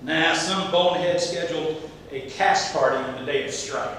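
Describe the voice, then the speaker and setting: A man's voice: normal speed, fairly bright tone, natural voice. A man playing a wry, folksy married handyman in a community theatre comedy, talking dryly. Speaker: amateur stage actor. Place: theatre stage, distant microphone. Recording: echoey hall from far off, rumble.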